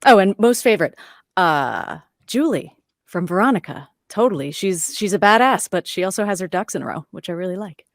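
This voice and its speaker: Woman on a roundtable, lowish voice, dry close sound, just chatting. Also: lively intonation, fairly quick, dry recording. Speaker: voice actor, panel guest. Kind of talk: casual self-introduction.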